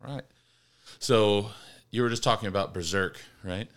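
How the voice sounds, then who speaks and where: Low bright-voiced man hosting a podcast. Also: normal speed, smooth. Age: 30s. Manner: joking, argumentative, frequent ums and uhs. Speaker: podcast host. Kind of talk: casual chat among friends.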